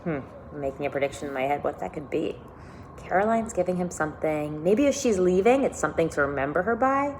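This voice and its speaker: A woman with a slightly low voice reads like a schoolteacher sharing a novel with young listeners. Her delivery is animated and deliberate, stressing key words and stopping to wonder aloud. There is some noise behind her. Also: low-quality recording. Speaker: teacher reading aloud to a class. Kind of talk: expressive read-aloud of a children's novel with think-aloud comments.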